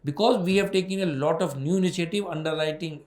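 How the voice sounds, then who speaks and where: A man's voice: a little high, quick pace, darkish tone, roomy sound. A man with an Indian accent answering questions as a bank executive on an earnings call, in an emphatic, repetitive way.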